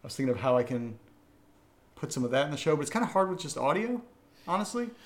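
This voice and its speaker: A man's voice: fairly high pitch, sing-song, fast talker. A man co-hosting a casual Q&A, reading out listener questions and answering in short remarks. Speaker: audio drama writer, co-host reading listener questions. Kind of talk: casual Q&A chat.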